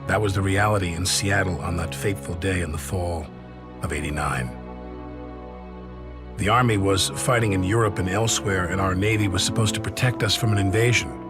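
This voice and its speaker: Game narrator: male actor as resolute, weary American veteran, deep, grave, measured, over score. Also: lightly acted. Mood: sombre, resolute. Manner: sombre, measured. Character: grave American soldier recounting war, world-weary, resolute. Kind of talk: dramatic scripted narration. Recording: prominent music behind.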